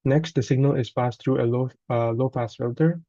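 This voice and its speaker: Mellow-voiced man reading a technical presentation. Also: mid-range voice, fairly even intonation, normal speed, dry recording, dull audio. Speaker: student presenter. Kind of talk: scripted technical narration, read aloud.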